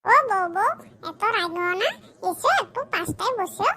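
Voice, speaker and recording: A performer voicing a lazy, boastful, hard-drinking husband in a comedy cartoon, with a rural West Bengal accent and a pitch-shifted voice. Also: moderate pace, noisy background. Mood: wheedling. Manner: colloquial rural speech, boastful, cajoling. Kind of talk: comedy cartoon skit dialogue.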